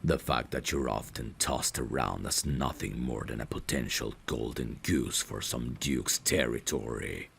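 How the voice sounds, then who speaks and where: A man playing a devoted, courteous vampire butler in an intimate ASMR audio roleplay, speaking formally and deliberately in a gravelly, breathy voice. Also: extremely low pitch, a bit bright, a little hiss or hum, heavily acted. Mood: grave, sympathetic. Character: devoted, courteous male vampire butler, tender yet menacing.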